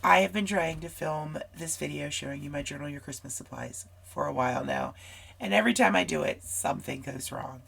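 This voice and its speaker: Hoarse crafty mom on a cold, gravelly voice, chatty, rambles to the camera. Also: female voice, low-pitched, brisk, a bit bright. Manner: chatty and rambling, talks to viewers directly. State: hoarse from a cold, losing her voice. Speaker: scrapbooking channel host. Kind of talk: casual craft supply walkthrough.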